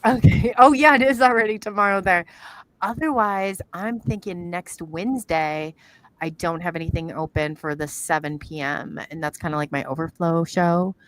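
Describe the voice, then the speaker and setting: Chatty Iowan woman, lowish voice. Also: normal speed, no echo. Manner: chatty and rambling, trails off while working the screen. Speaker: podcast host. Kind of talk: casual live-stream chat. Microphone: video call.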